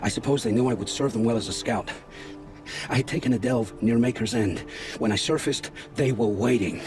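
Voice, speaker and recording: A man playing a guilt-ridden scavenger scout in a video game, speaking gravely and with haunted, poetic phrasing. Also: medium pitch, fairly quick, lightly acted. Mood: bitter, regretful. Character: guilt-ridden male scavenger scout, forced informant, begging for family. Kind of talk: dramatic video game acting. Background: background music.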